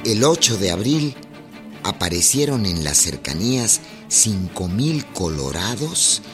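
A man narrating revolutionary history for a radio drama in a measured, formal, solemn way. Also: lowish voice, big pitch swings. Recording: loud music bed under narration.

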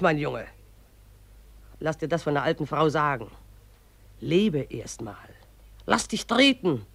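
An actress in a radio play voicing the river itself as a gruff, mocking old woman who scolds in an earthy, derisive way. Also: fairly low pitch, no echo, heavily acted. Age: older.

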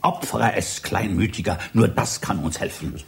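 An actor in a satirical radio drama playing a fanatical librarian priest of a book cult, preaching in solemn, archaic incantations. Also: male voice, deep voice, normal speed, vocal fry. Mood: demanding.

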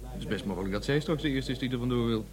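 Young male student activist played by a man, Netherlands Dutch, quick and lowish, defiant and aloof, radio drama with busy café chatter behind. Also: lightly acted. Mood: matter-of-fact. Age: young adult. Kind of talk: radio play dialogue.

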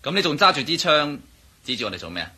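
A man's voice: fairly high pitch, very animated, fast talker, pushed and bright, breathy edge, exaggerated voice. A man playing a sly, cheeky henchman in a radio drama, speaking Hong Kong Cantonese in a glib, sarcastic way. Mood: protesting. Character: sly, cheeky male henchman, mocking and evasive.

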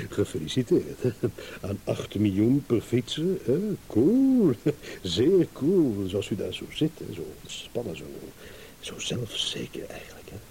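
An actor playing a shrewd, amused businessman in a radio drama, teasing and insinuating with hesitant pauses. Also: medium pitch, huge pitch swings, gravelly, warm tone, exaggerated voice. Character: shrewd, amused businessman, a blackmail victim turning schemer. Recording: hissy old radio play recording.

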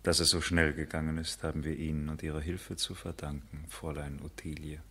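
An actor playing a courteous, earnest, quietly lovestruck architect with a breathy, hushed voice and formal, tender delivery in a 1970s radio drama. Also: male voice, very deep voice, little pitch movement, brisk, exaggerated voice. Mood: formal, wistful.

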